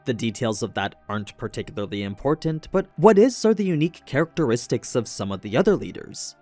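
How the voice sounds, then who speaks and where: A man reading scripted narration in a measured explainer manner, as for a recap video. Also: medium pitch, sing-song, a little mellow, no echo. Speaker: narrator of an anime lore recap show. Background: background music.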